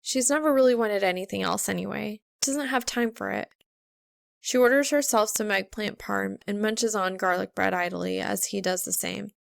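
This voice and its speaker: A woman reading fiction aloud as an expressive audiobook narrator. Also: mid-range voice, moderate pace, gravelly, dry recording, studio quality. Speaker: fan fiction audiobook reader. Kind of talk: expressive scripted story narration.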